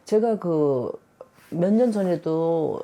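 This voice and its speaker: A woman in her sixties speaks as a patient, slightly low in pitch with a mellow, soft tone. She talks earnestly, pausing and restarting as she hunts for the right words to describe her symptoms. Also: animated. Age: 60s. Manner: earnest, halting, searching for words. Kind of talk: casual interview.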